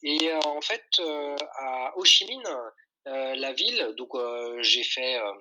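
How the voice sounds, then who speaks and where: A man's voice: medium pitch, expressive, slow, background noise. A man, answering interview questions in an informal, enthusiastic, explanatory way. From France. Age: 40s. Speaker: podcast guest, tour guide and photographer.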